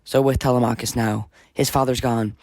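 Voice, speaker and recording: A man's voice: medium pitch, fairly even intonation, normal speed, darkish tone, no room sound, close to an everyday voice. Guy reading as polite earnest young noble, formal and respectful, dry sound, epic audiobook. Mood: deferential, earnest. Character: courteous, earnest young noble escort, man.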